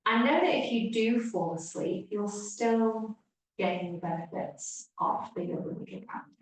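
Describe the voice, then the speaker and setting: Slow woman guiding relaxation in echoey room. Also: medium pitch. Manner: gentle, hushed phrase endings, long pauses. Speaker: yoga nidra teacher. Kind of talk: guided relaxation meditation. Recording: echoey room, distant mic, faint hiss.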